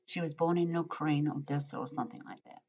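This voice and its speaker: A woman's voice: deep voice, steady tone, quick pace, a little mellow. A woman vlogger reading a letter aloud and commenting, hesitant with frequent ums and uhs, in muffled lo-fi audio. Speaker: true-crime vlogger.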